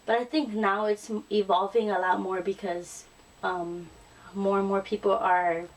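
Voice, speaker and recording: A young woman talking casually to her channel in a tag video, relaxed and chatty with frequent ums and restarts. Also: medium pitch, a little slow. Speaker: YouTuber. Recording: distant camera mic, faint music.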